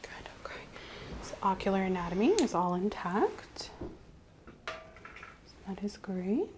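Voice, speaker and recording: A woman speaking softly as the examiner in an ASMR medical role-play, gently explaining each step in a reassuring way. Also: medium pitch, animated, unhurried, noisy background. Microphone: clip-on mic.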